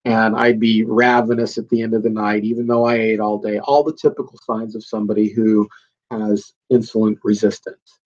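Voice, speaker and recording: A man's voice: lowish voice, flat delivery, normal speed, a little mellow, highs cut off. Stuffy-nosed man rambling on a podcast. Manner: rambling and emphatic, many fillers and restarts. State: congested, slightly nasal from a cold. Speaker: podcast host. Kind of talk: casual solo podcast monologue.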